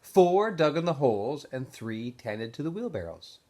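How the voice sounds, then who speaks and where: A man reading a novel aloud to students in an expressive, storybook style with emphatic phrasing. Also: medium pitch, moderate pace.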